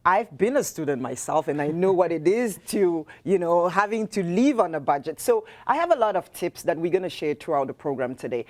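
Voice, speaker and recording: A middle-aged woman from Cameroon with a Cameroonian accent, a restaurant owner giving warm, halting cooking advice as a guest in a studio interview. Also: low voice. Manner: warm, halting, many fillers.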